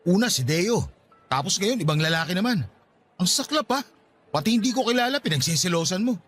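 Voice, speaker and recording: High, animated, exasperated husband. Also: male voice, brisk, soft background music. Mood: exasperated, indignant. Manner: indignant, argumentative. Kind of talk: Tagalog radio drama acting.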